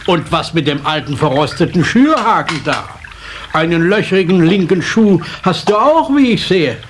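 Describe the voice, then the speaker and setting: An actor playing a grumpy, know-it-all old carp who scolds and blubbers theatrically in a children's radio play. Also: male voice, fairly high pitch, animated, moderate pace, a bit bright. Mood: scolding. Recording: slightly noisy old cassette transfer.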